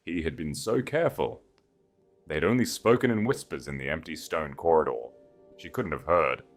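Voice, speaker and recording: A man's voice: extremely low pitch, lively intonation, moderate pace, soft background music. A man narrating a scripted story as a dramatic audiobook reader.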